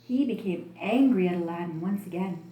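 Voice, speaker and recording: A woman telling a children's story as an expressive storybook narrator. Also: fairly low pitch, moderate pace. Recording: roomy, echoing room sound.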